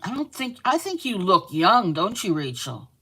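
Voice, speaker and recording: A woman's voice: medium pitch, big pitch swings, normal speed, pushed and bright, breathy edge. A middle-aged Canadian woman hosting a live crochet stream, chatting casually, teasing and laughing often while she reads viewer comments aloud. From Manitoba, Canada.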